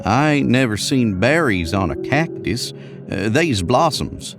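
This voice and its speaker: A man's voice: medium pitch, lively intonation, a little slow, music in the background, pitched above the performer's own voice. A man playing a young, cocky American cowboy in an audio horror story, irritable and exasperated. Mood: puzzled, impatient.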